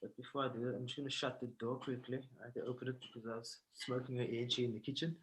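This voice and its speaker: A man with a South African accent telling stories informally on a video call, halting and pausing often. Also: medium pitch, little pitch movement, a little hiss or hum. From Cape Town, South Africa. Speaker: guest artist sharing stories. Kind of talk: informal storytelling presentation. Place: indoors at home, on a video call. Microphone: video call microphone. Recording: roomy, echoing video call audio.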